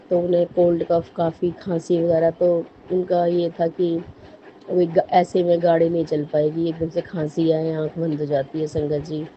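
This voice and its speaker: A hoarse woman speaks low and fairly flat, telling her devotional story in an earnest, halting way. She keeps circling back to thanks and apologies. The voice note sounds dull and lo-fi, with faint background noise. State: hoarse from a cold and cough. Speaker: devotee sharing a testimony. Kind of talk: devotional personal testimony.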